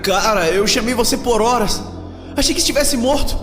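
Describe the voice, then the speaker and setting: Um homem interpretando um prisioneiro apavorado num audiodrama de terror, falando de modo coloquial e emotivo. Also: male voice, very high voice, moderate pace. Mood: anxious, relieved. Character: terrified male captive, close friend of the narrator. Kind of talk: horror audio drama acting. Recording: echoey hall reverb, music behind.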